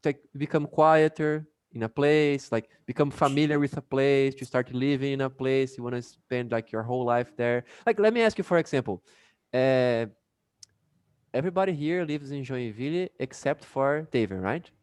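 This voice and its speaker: A man's voice: medium pitch, normal speed, a little mellow, smooth, no echo, clean studio sound. A Brazilian man with a Brazilian Portuguese accent, teaching an English class casually over a video call and chatting with many fillers.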